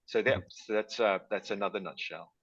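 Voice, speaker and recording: A man's voice: on the low side, normal speed, a bit bright, muffled recording, slight background noise. A man introducing his project on a video call, rambling with many fillers.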